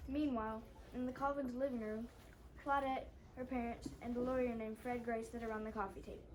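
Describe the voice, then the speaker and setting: Woman narrating a script, fairly high voice. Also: little pitch movement, fairly quick, low-quality recording. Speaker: readers theater narrator. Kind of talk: scripted narration read aloud. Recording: faint background room noise.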